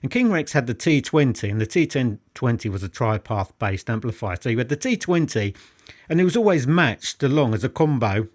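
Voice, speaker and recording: A man presents a product review in an unscripted, enthusiastic way. His mid-pitched voice rises and falls in a very animated fashion. He stresses and repeats phrases, often questioning the listener directly. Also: a little fast. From United Kingdom. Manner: emphatic, repetitive, rhetorical questions to the listener.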